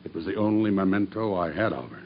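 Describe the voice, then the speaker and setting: An actor playing a grim, vengeful murderer making a deliberate, matter-of-fact confession in a radio drama. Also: male voice, deep voice, animated. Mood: grim recounting. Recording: dull radio drama recording with background noise.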